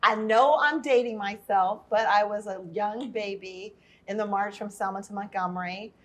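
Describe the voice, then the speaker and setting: A woman in her fifties telling a personal story as a podcast guest, earnest and emphatic, with quick asides. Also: mid-range voice. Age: 50s. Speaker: podcast guest, workforce and inclusion leader.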